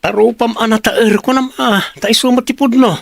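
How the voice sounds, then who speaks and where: A man's voice: very high-pitched, steady tone, fairly quick, creaky. A man acting a brash, leering, mocking intruder in a radio drama. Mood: mocking, insistent.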